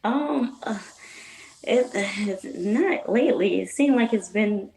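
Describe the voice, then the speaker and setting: A woman's voice: medium pitch, lively intonation, slow, gravelly, dark tone, low-quality recording. A woman from Atlanta, a runner and PE teacher answering interview questions in a friendly, upbeat way. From Atlanta, Georgia. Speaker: guest, marathon runner and PE teacher. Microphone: video call from home.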